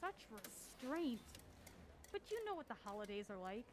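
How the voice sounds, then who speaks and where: A woman playing a mild-mannered young film buff in an audio drama, polite and quick-witted in her banter. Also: fairly high pitch, sing-song, a little hiss or hum. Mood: dry banter. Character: mild-mannered young female video store assistant manager, film buff.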